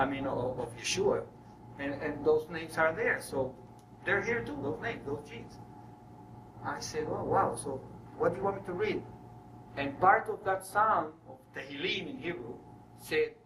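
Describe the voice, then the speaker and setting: A man from Venezuela speaks in a high, bright voice, giving a spiritual lecture built on personal stories. He is animated, slipping into dialogue as he goes. The sound is roomy, picked up from a distance. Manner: animated storyteller acting out dialogue. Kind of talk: spiritual talk to an audience, personal storytelling. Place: room with an audience. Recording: roomy, distant mic, faint noise.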